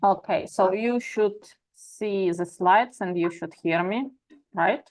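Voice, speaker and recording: A woman joining a conference by video call to present her slides. She speaks in a brisk, organized way at a middle pitch. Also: poor audio. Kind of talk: presentation opening.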